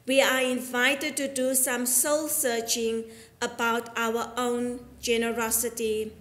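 A middle-aged woman, an Anglican priest delivering a prepared sermon in a measured, deliberate, earnest way. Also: a little high. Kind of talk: sermon, read from prepared text. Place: inside a church, at the altar. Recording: echoey church acoustic.

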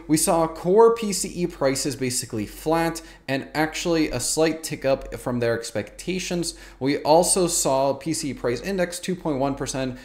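A man's voice: on the high side, a little mellow. A man in his thirties giving emphatic, colloquial market commentary to camera as a YouTube host, often repeating words for stress.

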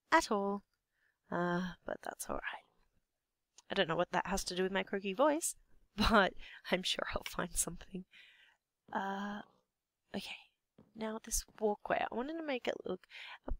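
A young Australian woman with a slightly croaky voice, half-whispering to herself as she commentates her building game. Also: mid-range voice, dry recording. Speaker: let's play gamer. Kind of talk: casual gameplay commentary.

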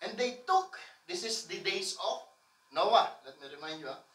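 Loud pastor in echoey hall. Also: male voice, high voice, animated, moderate pace. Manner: loud, emphatic, asks the congregation for amens. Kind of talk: sermon. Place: church stage with colored lights. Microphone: far camera. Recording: echoey room from far off.

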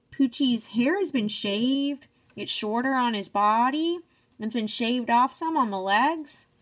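A young woman reading a picture book aloud to children like a teacher, encouraging and speaking in simple phrases. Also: on the high side. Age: young adult.

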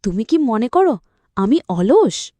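A woman's voice: on the high side, big pitch swings, slow pace, warm tone, full character voice. A woman voicing a lazy, timid, imaginative rabbit in a children's cartoon, with a whiny, playful manner. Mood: weary, whiny. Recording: roomy echo with faint music.

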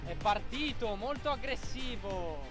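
A young man giving excited commentary on a boat race, counting down the starts and calling the action from a boat at sea. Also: very high voice, measured pace, over loud music. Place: outdoors on a boat at sea, then a boat show stand.

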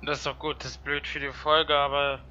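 A man's voice: fairly high pitch, level tone, a little fast, bright, forceful tone, highs cut off, slight background noise. A young man chatting casually while hosting a gaming video, slangy, crude and rambling. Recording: game music and gunfire behind voice. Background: game music, gunfire.

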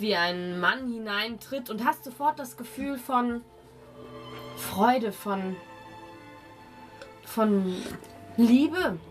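Female game master, mid pitch, very unhurried atmospheric narration with dramatic pauses, music bed.

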